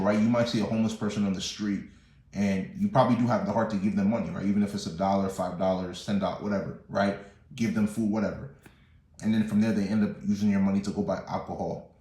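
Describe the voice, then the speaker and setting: A young man talks fast in a lowish voice, preaching a casual motivational message and leaning on "bro" and "you know what I'm saying". His voice bounces around an echoey room. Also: a little mellow. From Nigerian family, born in the US. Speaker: motivational vlogger. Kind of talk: casual motivational talk to camera.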